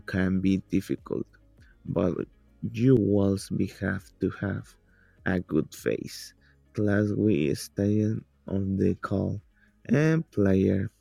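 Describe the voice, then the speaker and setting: Teen boy, halting English, slow gravelly reading, dry close sound, soft music under. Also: deep voice, no room sound. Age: teens. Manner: halting, non-native English with restarts. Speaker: student telling his story. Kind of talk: reading a personal account.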